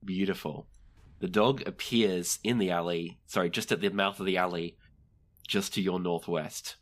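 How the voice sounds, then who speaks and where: Low-pitched game master running a tabletop session. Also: steady tone, moderate pace. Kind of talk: narrating a tabletop roleplaying game.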